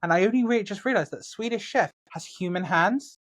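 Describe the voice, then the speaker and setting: A man's voice: sits high, lively intonation, fairly quick, fairly bright tone. A man co-hosting a casual podcast, reading posts aloud and joking around with a sweary, laid-back manner. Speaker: podcast co-host.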